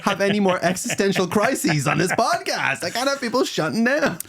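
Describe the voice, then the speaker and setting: A man's voice: high-pitched, very animated, a little fast, a bit bright. A man hosting a comedy chat podcast in a studio, playful and jokey, laughing often. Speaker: podcast host. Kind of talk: casual comedy chat show.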